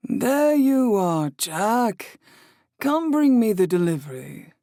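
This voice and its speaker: A male audiobook narrator voicing a kind young woman innkeeper, speaking softly and gently. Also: high-pitched, big pitch swings, slow pace, no echo, studio quality, pitched above the performer's own voice, heavily acted. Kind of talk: audiobook character voice.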